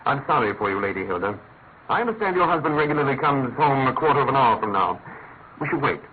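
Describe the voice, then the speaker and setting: An actor playing a shrewd, imperious master detective in a classic radio drama, incisive and urgent. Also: male voice, medium pitch, big pitch swings, quick pace, lightly acted. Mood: stern. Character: brisk, commanding, shrewd male master detective. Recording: tinny, narrow-band old radio, faint noise.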